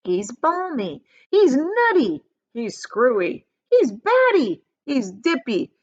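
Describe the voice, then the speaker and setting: A woman's voice: high voice, huge pitch swings, takes it slowly, highs cut off, voice pushed up, exaggerated voice. A woman voicing alarmed, outraged parents from a storybook in emphatic exclamations. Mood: alarmed outrage. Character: panicked, frightened crowd of storybook parents, outraged.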